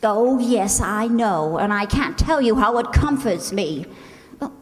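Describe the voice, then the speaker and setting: Woman acting exasperated captive lady in radio drama, swoopy high voice, echoey hall. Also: lively intonation, unhurried, close to an everyday voice. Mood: weary, scornful. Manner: indignant, exasperated. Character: indignant, sceptical woman captive, dragged along. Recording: echoey hall, long reverb.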